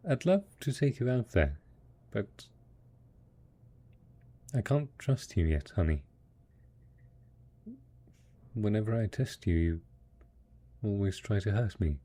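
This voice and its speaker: A man's voice: lowish voice, expressive, unhurried, long pauses, warm tone. A man playing a devoted post-apocalyptic husband in an intimate audio drama, speaking tenderly and patiently as he coaxes his monstrous spouse. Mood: tender, cajoling. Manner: tender, coaxing, patient, full of pet names.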